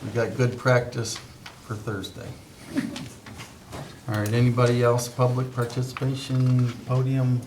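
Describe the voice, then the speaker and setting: Brisk man chairing a meeting. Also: medium pitch, flat delivery, recorded from a distance, noisy background. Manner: brisk, informal.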